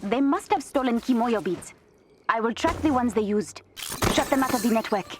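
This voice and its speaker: A woman playing a clever, cheeky young princess inventor in a video game, with a crisp, informative way of talking. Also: on the high side, lively intonation, moderate pace, noisy background, close to an everyday voice. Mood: focused. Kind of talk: video game cutscene dialogue.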